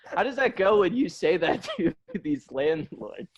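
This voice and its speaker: A man's voice: sits high, sing-song, unhurried, highs cut off. A man co-hosting a comedy podcast, riffing in casual, profane, joking banter with other voices behind him. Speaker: podcast co-host.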